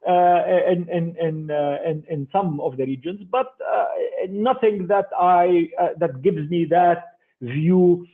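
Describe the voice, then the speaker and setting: An older man speaks as the guest in an interview, emphatic, restarting and repeating words. His voice is a bit husky and slightly high for a man. The audio sounds thin and phone-like, as if sent over a remote call. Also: takes it slowly. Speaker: investor guest.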